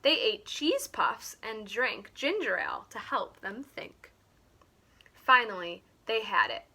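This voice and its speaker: Female children's story reader, teacherly: slow, deliberate, highly varied intonation. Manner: animated, deliberate. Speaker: teacher reading a picture book aloud. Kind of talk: expressive children's story reading.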